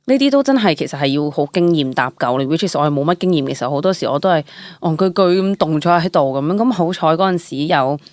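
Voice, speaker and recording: A young woman with a Hong Kong Cantonese accent, an actor telling stories casually as an interview guest, chatty and expressive, dropping in English words. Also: deep voice, fast talker, no room sound. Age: young adult.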